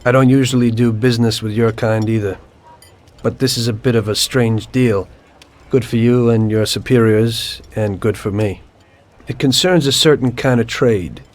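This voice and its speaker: A man playing a calm, unrepentant mobster. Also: medium pitch, moderate pace, natural voice. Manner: calm, matter-of-fact storytelling. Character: male mafioso turned informant, calm and unrepentant. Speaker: narrator of his past. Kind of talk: video game cutscene acting.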